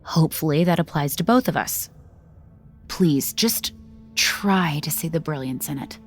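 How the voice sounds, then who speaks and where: A woman's voice: low-pitched, expressive, unhurried, soft background music. A woman playing a spoiled, wilful young noblewoman in a fantasy audio drama, with a teasing, imperious delivery. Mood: coaxing. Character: spoiled, haughty, wilful young noblewoman pitching grand dreams.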